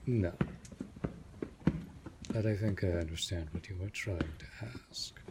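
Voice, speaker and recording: A man playing a courteous, possessive vampire master in an audio roleplay, speaking formally and deliberately with a breathy voice that often sinks toward a whisper. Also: deep voice. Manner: formal, measured, deliberate speech. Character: centuries-old male vampire master, courteous, possessive, protective manor lord. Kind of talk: immersive audio roleplay addressed to the listener. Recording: loud background noise.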